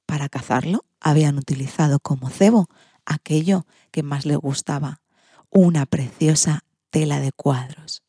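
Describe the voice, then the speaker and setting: A woman with a Spain accent narrates a scripted story for children as the show's host. Her voice is low and dark, with a husky edge. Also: normal speed, dry recording.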